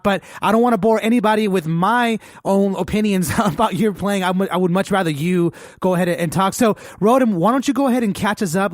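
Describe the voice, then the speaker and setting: High-voiced man hosting a podcast. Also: dry recording, studio quality. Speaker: podcast host. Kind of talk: casual interview chat.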